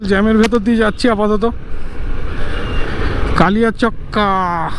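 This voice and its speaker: High-voiced man vlogging over road noise. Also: fairly even intonation, slow. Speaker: motorcycle vlogger. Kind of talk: casual chat, narrating a ride. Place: outdoors on a motorcycle on the highway. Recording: noisy road, engine and traffic sound. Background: vehicle and traffic noise.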